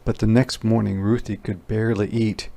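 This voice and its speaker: A man doing an interactive picture-book read-aloud for young children, pausing to ask questions and explain words simply. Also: fairly low pitch, little pitch movement, gravelly, dark tone. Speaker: teacher reading aloud.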